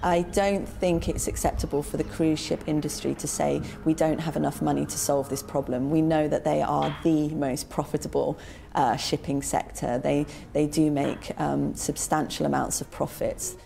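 A woman's voice: low voice, steady tone, normal speed, gravelly, mellow, soft background music. A woman campaigner speaking firmly and critically in an interview.

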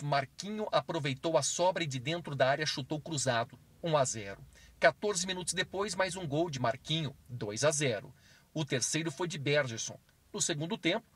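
Husky male sports news narrator. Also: a little high, dry recording. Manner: brisk, even newsreader delivery. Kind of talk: scripted news voice-over.

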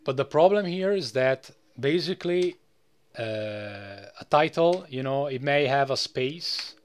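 A man presenting a casual, unscripted software tutorial in a rambling way, often tagging phrases with "you know". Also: medium pitch, lively intonation, a little slow, clear, resonant tone.

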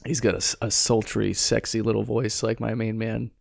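A man's voice: on the low side, level tone, moderate pace, vocal fry, no echo, clean studio sound. A man co-hosting a podcast, trading crude jokes and laughing often in casual banter. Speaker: podcast co-host.

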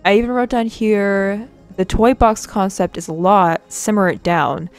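Chatty woman rambling over soft music. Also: medium pitch, a little slow, clean tone. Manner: rambling, filler-heavy, self-deprecating. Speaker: artist and channel host. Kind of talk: casual walkthrough of her design work. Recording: dry close mic with faint music behind.

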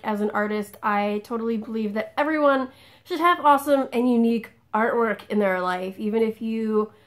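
A woman in her thirties talking casually to camera from a living room couch, chatty, with frequent ums and lively hand gestures. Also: fairly high pitch. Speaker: vlogger. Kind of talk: casual direct-to-camera vlog.

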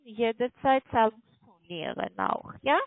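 A high-voiced woman with a foreign accent works through a sales pitch on a muffled phone line. She speaks haltingly in non-native German, pressing on and often seeking confirmation. The sound is dry and narrow. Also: sing-song, gravelly, dry recording. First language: not German. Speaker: call centre telemarketer selling online trading. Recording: muffled phone line, fluctuating microphone volume.

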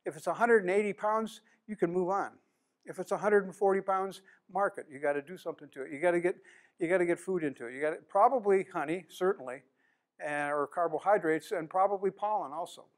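A man's voice: high-pitched, fast talker. A man in his sixties from the United States giving a plain-spoken conference lecture in a classroom, asking the audience questions as he goes. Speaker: beekeeping speaker.

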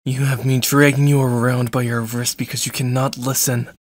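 A man's voice: medium pitch, level tone, normal speed, no room sound, close to an everyday voice. A man playing a jealous, controlling stalker in an ASMR roleplay, with a cold, clipped, threatening delivery. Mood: controlled threat. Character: jealous, controlling, menacing young male stalker.

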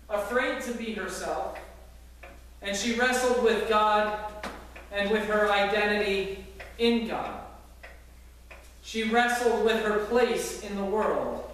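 Man preaching slow, kinda high voice, church echo everywhere, faint hiss. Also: a bit bright. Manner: earnest storytelling preaching with fillers. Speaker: pastor. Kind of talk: sermon. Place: church sanctuary with congregation. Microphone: far camera at back of church. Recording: echoey church from far off.

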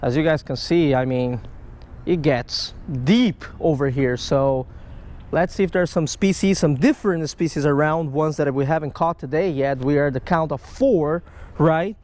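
A man giving casual, excitable running commentary while fishing outdoors at a creek, talking to the fish and his viewers, recorded on a chest-mounted action camera. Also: on the high side, normal speed. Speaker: fishing vlogger. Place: outdoors by a creek under a stone bridge.

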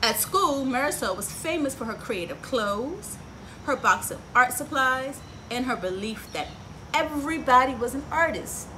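A middle-aged woman with a fairly high voice reads a children's story in a lively, swooping way. She pauses to put questions to her young audience. She is recorded outdoors on a porch, with noticeable ambient noise. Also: poor audio. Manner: expressive, engaging children with frequent questions. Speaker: story time host. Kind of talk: picture book read-aloud for children with asides and questions.

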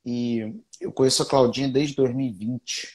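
Man, 30s, interview host, warm and welcoming, mellow tone, earphone mic, small office. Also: mid-range voice, fairly even intonation, normal speed. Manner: warm, welcoming, repeats words for emphasis. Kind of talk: casual video-call interview. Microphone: earphone headset mic on video call.

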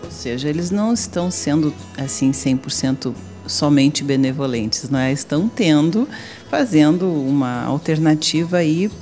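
A woman's voice: sits low, expressive, normal speed, mellow, faint music behind. A woman in her forties with a Brazilian accent, hosting a TV interview in an office, chatty and leading questions with a handheld mic. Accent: Brazilian Portuguese. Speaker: TV host. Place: office meeting room.

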